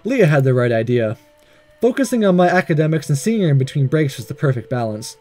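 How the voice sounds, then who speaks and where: A man voicing a smitten, earnest young male student in a visual novel, halting and sincere. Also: a little high, big pitch swings, darkish tone, pitched below the performer's own voice. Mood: tender, reflective. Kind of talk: visual novel read-aloud. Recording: faint music behind.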